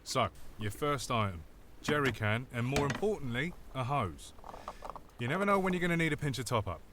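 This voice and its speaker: Cheeky British male mechanic acted by a man, lively swooping pitch, chatty, teasing, audio comedy, faint vehicle noise. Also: fairly quick, close to an everyday voice. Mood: cheeky, matey. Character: cheeky island mechanic, matey petty crook giving car tips. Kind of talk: comic audio drama double act.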